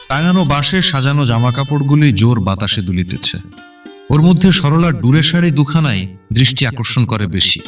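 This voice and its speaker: A man's voice: mid-range voice, very animated, moderate pace, far from the mic. A man reading literary narration in a dramatic, scripted audio-story style. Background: background instrumental music.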